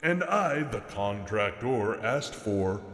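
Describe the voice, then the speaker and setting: Man performing a gloating trickster demon, horror storybook, pompous, mock-courteous, swooping pitch, slow drawn-out words, cavernous echo. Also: expressive, a crowd in the background. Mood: smug, mock-courteous. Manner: theatrical, pompous, legalistic, drawn-out emphasis. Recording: echoey reverberant hall effect, faint music.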